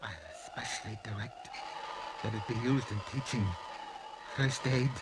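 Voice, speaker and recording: Heavy old-radio noise, male actor as wounded husband, medium pitch, halting, pleading, bitter, weakening. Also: steady tone, natural voice. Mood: weak, bitter. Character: desperate wounded husband, bitter lifelong fumbler, slowly weakening. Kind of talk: old-time radio drama acting. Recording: noisy vintage radio recording.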